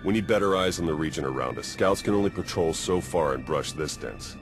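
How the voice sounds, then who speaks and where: A deep male voice with music clearly behind it, a blunt military commander in a game. He is pragmatic and dry, in clipped, no-nonsense phrases. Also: fairly quick. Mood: brusque, dry. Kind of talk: video game voice acting.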